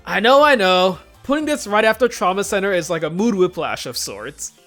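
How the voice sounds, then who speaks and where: Give the voice of a video host delivering scripted countdown commentary in a casual, joking way. The pitch is highly animated, rising and falling freely. Faint music plays behind the voice. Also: normal speed.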